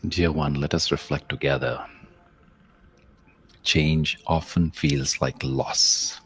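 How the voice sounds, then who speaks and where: Very deep, slow-paced man guiding meditation. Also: fairly even intonation, low-quality recording. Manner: measured, contemplative, with long pauses and softly trailing phrases. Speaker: guided meditation host. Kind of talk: slow guided meditation, spoken-word reflection with verse.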